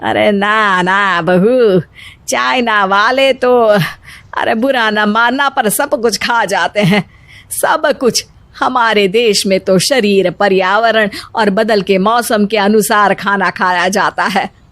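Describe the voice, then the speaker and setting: A woman's voice: medium pitch, slow, fairly bright tone, dry recording. A woman voicing an affectionate, proud and opinionated Indian mother-in-law in a cartoon story, exclamatory and instructive. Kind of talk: Hindi animated story acting.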